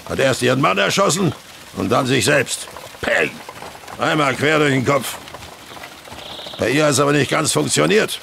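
A blunt country coachman in a radio play, with a heavily gravelled, forceful voice. He gossips brashly and colloquially, swinging lively up and down over faint outdoor noise. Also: mid-range voice, moderate pace, long pauses, full character voice. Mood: gossipy, blunt. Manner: brash, colloquial, matter-of-fact. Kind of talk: German audio drama acting.

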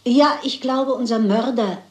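An actress playing a shrewd, composed elderly lady detective in a German crime radio play, speaking in a measured, polite and resolute way. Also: a little high, echoey room, close to an everyday voice. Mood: composed, determined. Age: older.

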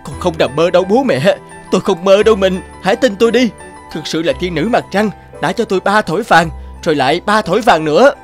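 An excitable, greedy young peasant. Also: male voice, high-pitched, fairly quick, soft background music. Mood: desperate, pleading. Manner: dramatic, exclaiming, pleading. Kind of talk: animated fairy-tale character acting.

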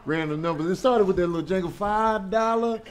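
A man's voice: high-pitched, a little fast, close to an everyday voice. An American man playing a cocky, defensive, streetwise church pastor in a comedy courtroom show. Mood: evasive. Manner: streetwise, evasive, emphatic. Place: courtroom, standing.

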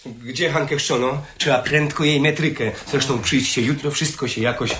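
An actor playing a curt, headstrong young bourgeois son in a radio drama. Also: male voice, medium pitch, fairly even intonation, moderate pace, fairly bright tone, close to an everyday voice. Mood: brisk, determined. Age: young adult. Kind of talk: 1970s radio drama acting. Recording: roomy 1970s radio recording.

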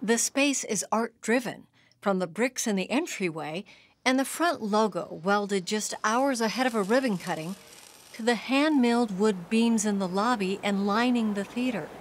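A woman giving a measured, scripted documentary narration. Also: mid-range voice, a little hiss or hum. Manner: smooth, measured.

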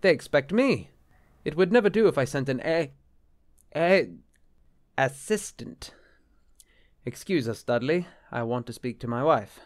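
A man's voice: a little high, lively intonation, unhurried, pauses often, no echo, clean studio sound. Man acting a flustered, skeptical bishop. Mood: harried. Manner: flustered, hesitant, formal. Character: anxious, exasperated, skeptical married male bishop. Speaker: actor. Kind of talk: radio-play style drama acting.

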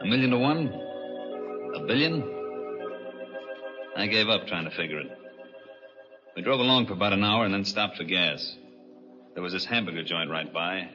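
An actor playing a brash, scheming, slangy American gambler and driver in a 1940s radio drama. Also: male voice, deep voice, animated, normal speed, pauses often, pushed and bright, faint music behind. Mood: scheming, narrating. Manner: slangy, cocky wise-guy talk. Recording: dull, band-limited 1940s radio recording.

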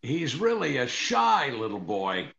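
An older man playing a harried, wisecracking husband in a 1940s-style radio comedy, with a gravelly voice. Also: medium pitch, huge pitch swings, a little slow, sharp tone, heavily acted. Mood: wry. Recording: slightly dull online-call audio.

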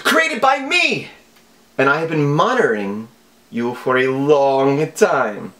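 A man voicing a menacing, over-dramatic evil hacker villain in a made-up foreign accent, slow and theatrical. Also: medium pitch, big pitch swings, pushed and bright, heavily acted. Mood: boastful menace. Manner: slow, theatrical, deliberate delivery with broken English.

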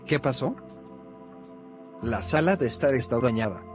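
A man voicing a bratty bald cartoon boy who mocks grown-ups, with a flat, sneering delivery over music. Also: medium pitch, measured pace, natural voice. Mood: smug. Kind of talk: fan-made cartoon dub.

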